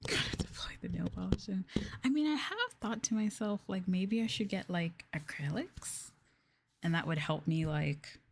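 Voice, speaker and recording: A woman streaming ASMR, talking softly and hesitantly to a live chat, often slipping into a breathy whisper close to the mic. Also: fairly low pitch, animated, normal speed. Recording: noisy, with clear hiss and clicks.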